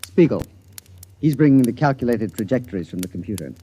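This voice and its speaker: An actor playing an earnest, eager scientist in a 1950s radio drama, speaking formal, articulate English. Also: male voice, mid-range voice, animated, quick pace, dark tone, husky. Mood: eager, confident. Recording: vintage 1950s radio broadcast.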